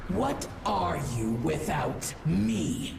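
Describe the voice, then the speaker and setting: A woman's voice: fairly low pitch, expressive, drawn-out words, creaky, full character voice. A woman voicing a menacing, seductive war deity in a video game, imperious and taunting, drawing out her words. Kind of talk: dramatic video game villain monologue. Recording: echoing voice under loud music.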